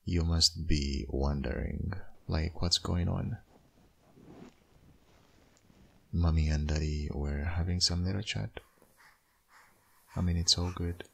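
A man playing a tender, wistful young father still in love with his ex in a boyfriend ASMR roleplay, speaking hesitantly in an intimate, husky, gravelly murmur. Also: deep voice, fairly even intonation, measured pace, slight background noise. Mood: gentle, soothing. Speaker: audio roleplay voice actor.

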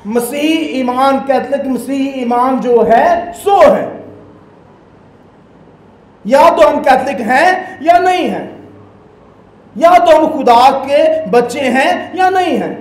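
High-voiced Pakistani priest preaching emphatically. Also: male voice, a little mellow, echoey room. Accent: Pakistani Urdu. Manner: emphatic preaching with sweeping hand gestures. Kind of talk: Sunday homily. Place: small church sanctuary, indoors. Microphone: stand mic at the lectern.

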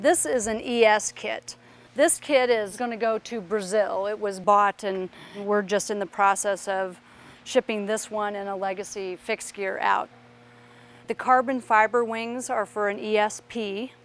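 A woman guiding a walking tour of an aircraft workshop, explaining parts as she points them out. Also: a little high. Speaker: factory tour guide. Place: large aircraft workshop indoors.

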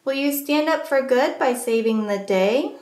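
High-voiced woman reading a picture book aloud. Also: measured pace, darkish tone, roomy sound. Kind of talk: read-aloud storytelling of rhyming children's book.

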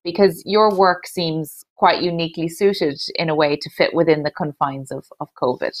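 A woman in her thirties interviews a guest over a video call. Her voice is a little low. She speaks conversationally, with effusive encouragement as she reacts and asks questions. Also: fairly even intonation, moderate pace. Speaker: host, interviewer. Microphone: headset on video call.

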